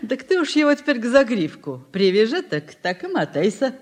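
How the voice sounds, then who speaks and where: A woman's voice: a little high, big pitch swings, moderate pace, a little mellow. Bustling, scolding village mother. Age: middle-aged. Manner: fussing, folksy, scolding. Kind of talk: Soviet radio drama acting.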